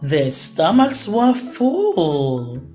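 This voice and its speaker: A woman's voice: lowish voice, sing-song, extremely slow, warm tone. A woman narrating a children's story expressively, drawing out words for emphasis. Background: music.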